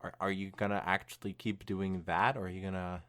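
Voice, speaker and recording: A young man hosting a podcast, reading fan fiction aloud in an animated way mixed with casual chat. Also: low-pitched, brisk, clear, resonant tone.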